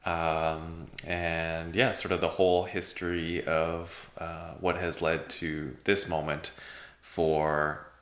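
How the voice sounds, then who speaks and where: A man's voice: low-pitched, flat delivery, takes it slowly, fairly bright tone. A man hosting a podcast in a casual, hesitant way, with many fillers and repeated words. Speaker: podcast host. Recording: echoey room.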